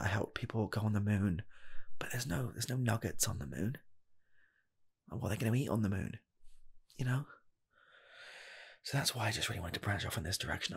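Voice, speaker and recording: A young man playing an eager, nervous rocket scientist in a comedic ASMR job interview, speaking softly and haltingly, repeating himself. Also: on the low side, full character voice. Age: 20s. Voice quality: soft, husky, gravelly, slipping into whispers. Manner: halting, stammering, repeats phrases. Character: eager, nervous rocket scientist applying for fast-food work. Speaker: ASMR roleplayer.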